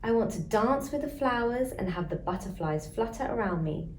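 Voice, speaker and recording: A woman voicing a dreamy, excitable little girl in a children's storybook, with a wide-eyed, eager manner. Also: a little high, normal speed, pitched above the performer's own voice. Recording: roomy echo, faint background noise.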